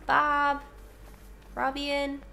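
Young woman streamer, high voice, bubbly and chatty, a bit sick and congested, faint music behind. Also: completely flat delivery, very slow, vocal fry, sharp tone. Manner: bubbly, chatty, reads chat aloud. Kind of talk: casual live-stream chat.

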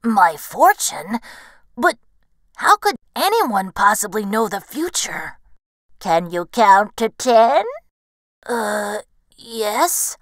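Young male storybook fox acted by a woman: earnest, excitable, cocky, lively pitch, dry studio sound. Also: slow pace, long pauses, dry recording. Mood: puzzled. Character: young male fox, eager, naive, boastfully confident.

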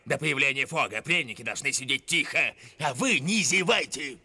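Wolfish cartoon villain, piercingly bright, wildly swooping pitch, bossy, mocking, hot-tempered. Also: male voice, lively intonation, normal speed. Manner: mocking, theatrical, barking orders. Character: gloating, bossy male wolf-like villain, hot-tempered schemer. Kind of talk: dubbed cartoon acting.

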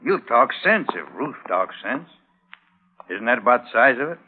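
A smooth-talking western henchman coaxes in a lively, mid-pitched voice. It is 1950s radio drama acting on a tinny, narrow recording. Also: normal speed. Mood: persuasive. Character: smooth-talking, persuasive henchman brother of cattle boss.

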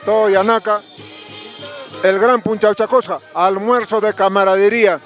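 A high-pitched male interviewer works an outdoor gathering with a handheld mic. He is casual and teasing, and faint music plays behind him. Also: fairly even intonation, normal speed, fairly bright tone. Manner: jocular, teasing. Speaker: roving interviewer at a village fiesta. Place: outdoors at a village festival lunch. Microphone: handheld microphone. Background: music and outdoor sounds.

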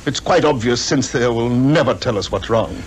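A man's voice: medium pitch, lively intonation, moderate pace, natural voice. A middle-aged actor with a British accent playing a polite, troubled upper-class father in a 1950s radio drama. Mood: troubled, earnest. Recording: noisy 1950s radio recording.

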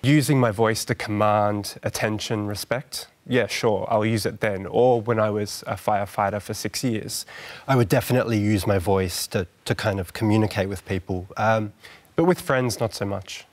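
Guy in his 30s w a soft low voice, measured and gentle, tv studio panel. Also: steady tone. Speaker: studio audience guest. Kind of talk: studio discussion. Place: large television studio.

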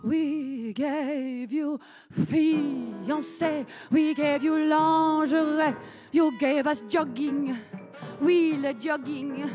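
A woman's voice: high-pitched, fairly even intonation, very slow, over loud music. A woman playing a haughty, theatrical French chanteuse with a put-on French accent, singing comic cabaret songs. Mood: mocking song. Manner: camp, mocking, flamboyant, sung with musical backing. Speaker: cabaret performer. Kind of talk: comic cabaret song and patter.

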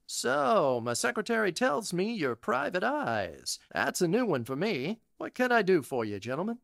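An actor playing an affable, blunt company chairman in an audio drama, with a creaky voice. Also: high voice, huge pitch swings, normal speed, fairly bright tone, no room sound, studio quality, exaggerated voice. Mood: welcoming.

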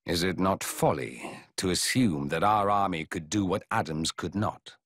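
A man playing a grave middle-aged king in a fantasy game, speaking in formal, measured, archaic phrases. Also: low-pitched, animated, fairly bright tone, no echo. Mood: grave, solemn. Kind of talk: video game cutscene acting.

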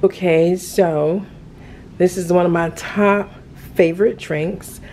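A middle-aged woman with a slightly low voice talks very slowly and casually, as in a lifestyle vlog. She is in a home kitchen, with clear ambient noise behind her. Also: steady tone, darkish tone. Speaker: lifestyle vlogger.

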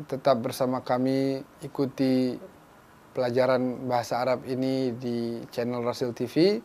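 A young man with an Indonesian accent teaching a televised beginners' Arabic lesson, repeating phrases slowly and explaining each one. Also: medium pitch, darkish tone. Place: small dark studio with whiteboard.

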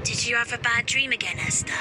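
A woman's voice: fairly high pitch, quick pace, lightly acted. A woman voicing an orphanage child in scripted game cutscene dialogue. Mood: annoyed, defensive. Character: children in an orphanage, bickering over bad dreams and toys. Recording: music behind the voices.

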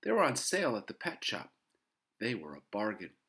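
Storybook schoolboy acted by an adult reader: eager, high-pitched, very lively swings. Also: moderate pace. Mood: eager, proud. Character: excitable young schoolboy, eager, earnest, easily delighted.

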